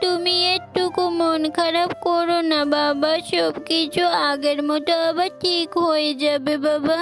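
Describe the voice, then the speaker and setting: Woman as a very high, sweet little cartoon girl. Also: flat delivery, measured pace, faint music behind. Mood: sweet consoling. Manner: childlike, earnest. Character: sweet, caring young animal daughter, helpful little girl. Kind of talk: children's cartoon story voice acting.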